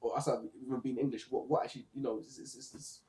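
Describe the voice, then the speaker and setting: A man from Zimbabwe hosting a casual book discussion podcast, talking in a relaxed way with frequent restarts. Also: mid-range voice, roomy sound. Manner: relaxed, halting with repeated restarts. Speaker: podcast host.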